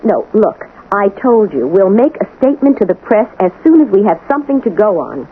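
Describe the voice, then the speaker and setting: An actress plays a busy, principled woman doctor in a 1980s radio drama. Her delivery is formal and clipped, yet the pitch moves a great deal. The broadcast sounds thin and narrow, with faint noise. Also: sing-song, a little fast, natural voice. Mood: harried, impatient. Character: brisk, busy female head doctor, principled. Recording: tinny, noisy old radio broadcast.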